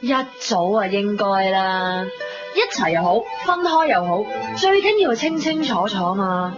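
A frank, worldly Hong Kong woman teases and nags in slangy Cantonese. Her voice is mid-pitched, with sighs, in a dull radio drama recording with music underneath. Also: measured pace. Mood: blunt, exasperated. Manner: blunt, sighing, animated nagging with slang. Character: frank, worldly, teasing female friend scolding and helping. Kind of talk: 1990s radio drama acting.

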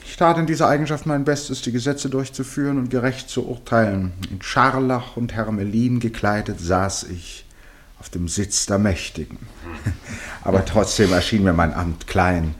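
A man plays an eccentric old ex-judge in a radio play, with a low voice and precise, self-amused, grandiloquent delivery. The genial chairman speaks as if making a formal address, over audible hiss. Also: fairly even intonation, normal speed, natural voice. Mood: proud oratory. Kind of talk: German radio play acting, formal speechmaking.

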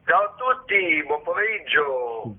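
A man's voice: fairly high pitch, big pitch swings, slow, a crowd in the background. A man, a theatre critic giving a discursive spoken briefing on radio. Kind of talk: spoken cultural news briefing. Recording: roomy, tinny phone line.

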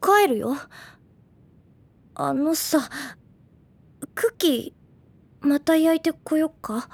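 A woman playing a shy but blunt, prickly high school girl in an anime-like Japanese audio drama. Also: animated, very slow, creaky, darkish tone, exaggerated voice. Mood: bashful. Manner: blunt, bashful, tsundere.

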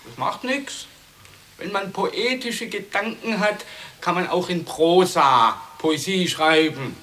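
An actor playing a courteous, hesitant but proud professor and inventor in an absurdist radio comedy, speaking formally. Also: male voice, high-pitched, slow, bright, forceful tone, slight background noise, heavily acted. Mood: polite, encouraging. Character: modest, proud male professor and inventor at a learned academy. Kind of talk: 1980s German absurdist radio comedy acting.

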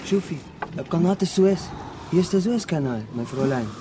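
An actor playing a courteous, obliging Egyptian servant with an Arabic accent in a radio drama, deferential, with music behind him. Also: male voice, on the high side, animated, a little fast, noisy background, lightly acted. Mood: soft, obliging. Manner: deferential, polite, mixes in Arabic phrases.

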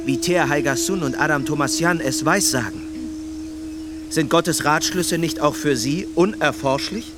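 A devout pastor in a radio drama, meek and pleading. His voice is on the high side and he preaches submission like a sermon. Clear ambient noise surrounds him. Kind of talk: German radio drama acting.

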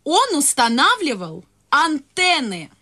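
A woman's voice: high voice, wildly swooping pitch, takes it slowly, pushed and bright, no room sound, studio quality, full character voice. A young woman actor playing a naive, nervously polite secretary in a radio comedy, rambling on in a prim, earnest way. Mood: earnest, chatty. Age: young adult. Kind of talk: radio play comedy acting.

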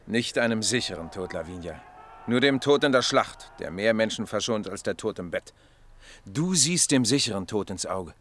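Wry, worldly Roman captain. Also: male voice, fairly low pitch, expressive, normal speed, a bit bright, slight background noise. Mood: urgent, reasoning. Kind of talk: theatrical German radio drama acting.

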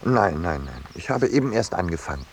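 A peaceable, gallant landscape painter with a deep and highly animated voice. He speaks with dry, courteous irony and small hesitations, as in a 1950s radio play. A faint hiss lies over the old recording. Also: fairly quick. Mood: politely gallant. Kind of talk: 1950s German radio play, narration and dialogue.

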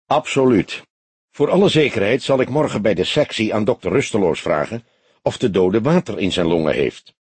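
Audiobook role: young junior detective, precise, self-assured, animated intonation, played by a male narrator. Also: fairly low pitch, moderate pace, husky, no room sound. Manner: factual, emphatic reporting.

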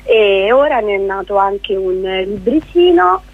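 A woman's voice: mid-range voice, very animated, unhurried, narrow, tinny audio. A woman speaking as a guest theatre director in a conversational radio interview, explaining things discursively.

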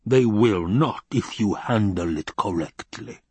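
Man playing a slow, rumbling, menacing baron. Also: darkish tone, breathy edge, no echo. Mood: cold command. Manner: slow, contemptuous, imperious. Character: menacing, scheming, obese male baron and tyrant. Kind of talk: dramatised audiobook.

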